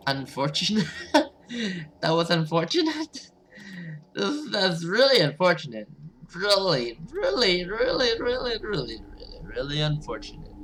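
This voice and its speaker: A man's voice: a little high, background noise. A man doing let's-play commentary and reading game text aloud in an informal, hesitant way. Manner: informal, hesitant, alternates reading narration with reactions. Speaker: gaming video host.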